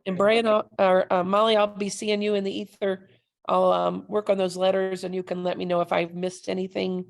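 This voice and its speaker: Enthusiastic woman on a video call, gravelly voice, gushing and friendly. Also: medium pitch, flat delivery, a little fast. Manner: warm, enthusiastic, effusive. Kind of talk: casual video call chat.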